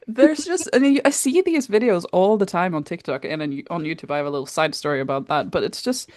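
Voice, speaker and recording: A woman co-hosting a casual podcast chat, blunt and animated, laughing often. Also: on the low side, fast talker, dry recording. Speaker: podcast co-host.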